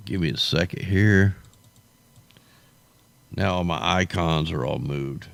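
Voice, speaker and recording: A man with a low, creaky voice hosts a casual livestream. He sounds tired, with his voice worn out. His commentary is off the cuff, rambling and full of slang. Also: slow, no room sound. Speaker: livestream host.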